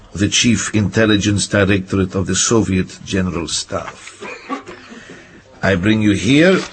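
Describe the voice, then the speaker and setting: An actor playing a grave, earnest middle-aged Soviet intelligence colonel, in a radio drama. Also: male voice, fairly low pitch, slight background noise, natural voice.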